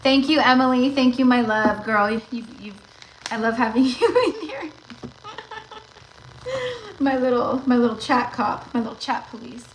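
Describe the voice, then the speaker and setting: A woman hosting a casual tarot livestream, chatty and rambling, talking straight to her viewers, with her voice going slightly raspy. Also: on the high side, animated, unhurried, long pauses, a little hiss or hum, rough recording.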